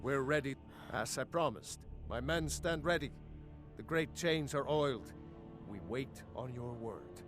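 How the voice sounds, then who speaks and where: A man's voice: mid-range voice, a little slow, natural voice. Man playing a stern fantasy lord, music behind. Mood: grim, ready. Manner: firm, commanding, formal. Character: stern, dutiful male lord commanding a fantasy city. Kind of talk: fantasy video game dialogue. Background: game music.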